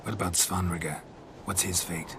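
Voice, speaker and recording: A man playing a terse, deadpan, world-weary hero in a video game. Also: low voice, normal speed, noisy background. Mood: dry, terse. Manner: laconic, deadpan. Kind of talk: understated video game acting. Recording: loud music behind the dialogue.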